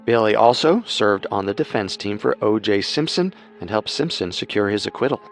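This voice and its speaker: A man co-hosting a podcast, reading scripted true-crime narration in a measured storytelling manner. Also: fairly low pitch, lively intonation.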